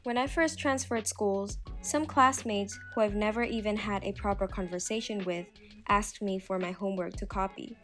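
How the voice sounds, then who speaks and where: A woman reading out submitted personal stories in a plain, even way. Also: mid-range voice, moderate pace, faint music behind. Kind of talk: scripted reading.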